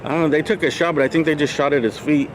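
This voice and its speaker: A man's voice: fairly high pitch, fairly even intonation, very fast, slight background noise, poor audio. A man chatting casually as a live streamer, slangy and prone to swearing, with bro-talk.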